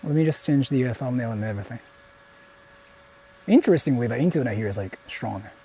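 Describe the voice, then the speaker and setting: A man's voice: medium pitch, lively intonation, quick pace, mellow, a little hiss or hum, rough recording. A young man live-streaming outdoors from his phone, chatting casually with viewers and swearing freely. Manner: chatty, talks to viewers, frequent swearing and fillers. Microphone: phone held while streaming.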